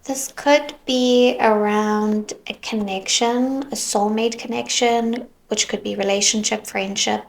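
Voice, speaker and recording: A woman giving a tarot reading, musing softly as she goes and sometimes slipping into a whisper, her voice worn as though she is losing it. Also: a little high, fairly even intonation, creaky. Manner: slow, soft, musing, drifts into whispers. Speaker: tarot reader.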